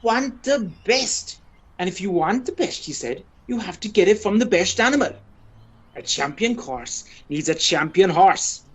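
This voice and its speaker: Woman playing an ageing Irish man, husky voice, swooping intonation, pompous, chatty, wheedling, radio play. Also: medium pitch, expressive, a little slow. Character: boastful, wheedling ageing male Irish pitch and putt champion. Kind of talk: radio play monologue and dialogue.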